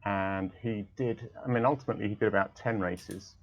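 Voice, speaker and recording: Low, gravelly man over voice chat. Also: steady tone, lo-fi sound. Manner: easygoing, measured explanations. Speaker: guest, racing club organizer. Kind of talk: casual interview over voice chat.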